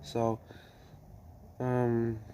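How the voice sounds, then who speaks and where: A man's voice: mid-range voice, completely flat delivery, drawn-out words. A man giving a casual tutorial in a hesitant way, often restarting and repeating his phrases. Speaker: tutorial host. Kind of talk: casual screen-recording tutorial. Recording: loud background noise, clicking.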